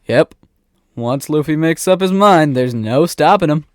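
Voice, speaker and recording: A man playing a calm, loyal pirate swordsman in an audio drama. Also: a little high, unhurried, no room sound, clean studio sound. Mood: calm agreement.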